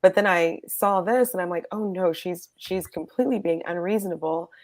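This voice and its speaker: A woman's voice: lowish voice, moderate pace. A woman guest co-host on a reality TV recap, wry and joking.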